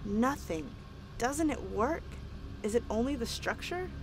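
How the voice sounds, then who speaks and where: An actress playing an eager, wonder-struck female doctor in an audio drama, with an expressive delivery. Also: a little high, a little slow, low-quality recording. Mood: amazed. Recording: music behind the voice.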